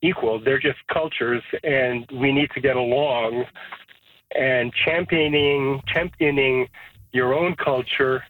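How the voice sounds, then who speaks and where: A man's voice: mid-range voice, slow pace. Man calling in over a tinny phone line. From Canada. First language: Serbo-Croatian. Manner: reflective, thinks aloud with restarts. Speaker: radio caller. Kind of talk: casual phone-in chat.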